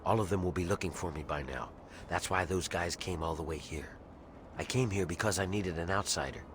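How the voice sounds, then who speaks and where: A low-pitched, gruff game character, played by a man as an embittered slave plotting revolt. He talks in a conspiratorial, matter-of-fact way, with clear ambient noise behind him. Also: brisk, close to an everyday voice. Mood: grim, determined. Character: grim embittered rebel slave man scheming for freedom. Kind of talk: video game character dialogue.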